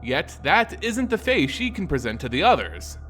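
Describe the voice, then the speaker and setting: A man narrating a scripted fantasy story in a dramatic, solemn and measured storytelling manner. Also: on the high side, big pitch swings, fairly bright tone, a little hiss or hum. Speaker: lore narrator.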